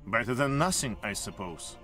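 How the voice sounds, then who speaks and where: A man's voice: mid-range voice, lively intonation, moderate pace, a bit bright, soft background music, natural voice. A man playing a proud, imperious monarch in a video game, with a stern, haughty and formal delivery. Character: proud, imperious male monarch, the Tsar of Russia. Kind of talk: video game cutscene acting.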